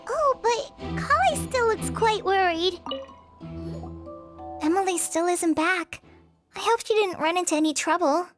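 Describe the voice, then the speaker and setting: A woman voicing a tiny, bubbly floating fairy companion in a game who refers to herself by name and is easily excited. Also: extremely high pitch, unhurried, long pauses, full character voice. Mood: worried. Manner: bubbly, excitable, talks about herself in third person. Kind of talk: game voice acting. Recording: game audio with loud music behind.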